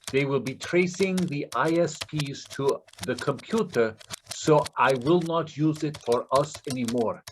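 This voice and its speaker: Slow-talking desperate Russian scammer played by a man. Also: medium pitch, slight background noise, low-quality recording. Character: desperate Russian male scammer trapped by mob, tenderly lovelorn. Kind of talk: staged reading of a play.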